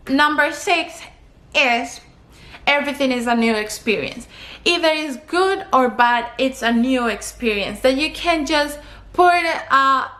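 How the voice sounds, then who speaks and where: A woman's voice: high-pitched, a bit bright. A young woman from Colombia, a native Spanish speaker with a Colombian accent, giving earnest travel advice to camera and counting off her points. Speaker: travel vlogger. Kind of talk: talking to camera.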